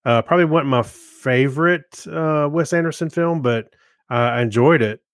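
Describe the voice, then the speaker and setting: A man co-hosting a podcast, giving casual reviews in a halting way as he searches for his words. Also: a little high, no room sound, clean studio sound. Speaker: podcast co-host. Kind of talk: casual film review chat.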